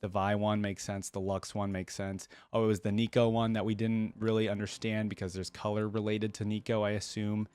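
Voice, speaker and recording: A man with a fairly low voice hosts a reaction video in a casual, chatty way. He rambles through his thoughts and often checks in with a short question. The sound is dry, with very little room in it. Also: flat delivery, fairly quick, few pauses, a little mellow, smooth, dry recording.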